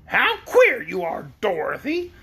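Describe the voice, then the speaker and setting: A reader voicing a brisk, cheeky female yellow hen in a storybook, self-assured and fond of arguing. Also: mid-range voice, wildly swooping pitch, creaky, slightly rough, exaggerated voice. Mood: indignant, argumentative.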